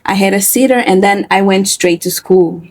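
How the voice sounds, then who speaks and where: A woman in her twenties who grew up in Portugal, speaking softly and reflectively as a guest in a candid interview. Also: mid-range voice, normal speed, dry recording. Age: 20s. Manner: soft-spoken, reflective, with frequent fillers.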